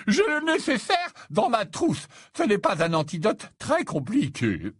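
A man voicing a jovial, fussy older potions professor in an audiobook, flattering, stammering and repeating himself. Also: sits high, a little slow, slightly rough, no room sound, studio quality, pitched above the performer's own voice, full character voice. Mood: relenting. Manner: stammering, repeats himself, tacks on questioning tags.

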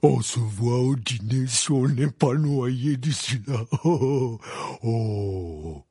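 A man voicing a giant, friendly gamekeeper who speaks slowly and heartily. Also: medium pitch, warm tone, husky, no room sound, studio quality. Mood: hearty. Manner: slow, hearty.

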